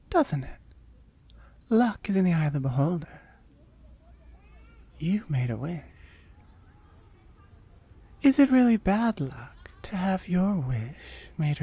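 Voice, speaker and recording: A woman acts out a seductive immortal oni demoness for an intimate ASMR audio drama. Her voice is mellow and breathy, often sinking into whispers. She takes her time, swooping through her lines with smug, coaxing playfulness. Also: on the low side, dry recording, exaggerated voice. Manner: slow, coaxing, teasing.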